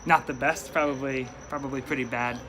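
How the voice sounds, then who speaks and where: A man's voice: medium pitch, steady tone, fairly quick, background noise. Young man with a New York accent, hesitant. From upstate New York. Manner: rambling, hesitant, many fillers and restarts. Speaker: stand-up comedian, guest. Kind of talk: casual interview chat.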